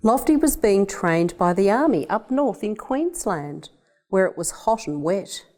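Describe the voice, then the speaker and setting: A woman with an Australian accent reads a children's picture book aloud in a measured, expressive storytelling way. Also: mid-range voice. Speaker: education officer reading a picture book.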